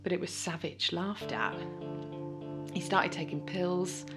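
An actress playing a bitter, scornful widow in an audio drama monologue, blunt and confessional with halting moments. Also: female voice, lowish voice, music in the background, lightly acted. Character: bitter, scornful second wife, now widowed.

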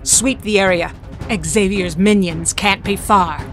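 A woman's voice: medium pitch, steady tone, slow, over music, natural voice. A woman playing a cold, commanding mutant villain in an audio drama, speaking imperiously. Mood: angry, commanding.

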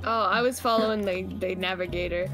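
A woman in a roleplay game session, making dry deadpan jokes. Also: medium pitch, a little fast. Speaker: roleplay player. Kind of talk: in-character roleplay chat. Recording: game music under voice.

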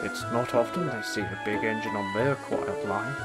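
A man doing a gentle big engine. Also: lowish voice, normal speed, over loud music, lightly acted. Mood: calm. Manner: gentle and calm.

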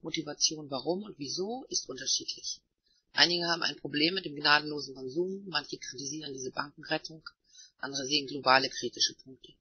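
A man moderating an online voice chat, reading participants' messages aloud in a plain, unhurried way. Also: on the high side, slightly rough, dry recording. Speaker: meeting moderator. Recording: dull, muffled online voice chat.

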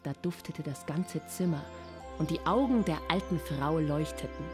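Lowish-voiced woman narrating a children's fable. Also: moderate pace, music in the background. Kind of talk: scripted storybook narration for children.

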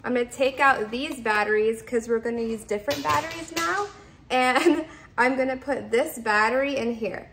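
Higher-pitched woman with a creaky edge. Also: normal speed, noisy background. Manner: instructional, repetitive, simple explanations. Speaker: product reviewer. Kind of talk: casual product demonstration, step by step. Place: indoors, open kitchen and dining room at home.

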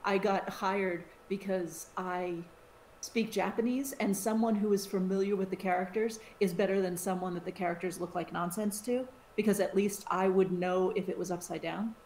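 A middle-aged woman from the United States, co-hosting a podcast in a casual, animated chat with frequent restarts and side remarks. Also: mid-range voice, normal speed. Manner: chatty, animated, with many restarts. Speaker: podcast co-host. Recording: roomy sound with faint hiss.

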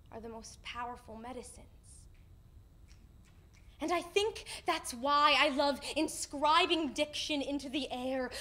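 Young woman, high voice, spoken-word poetry, expressive delivery, deliberate phrasing, stage recital. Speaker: poetry slam performer. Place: indoor stage in front of a curtain.

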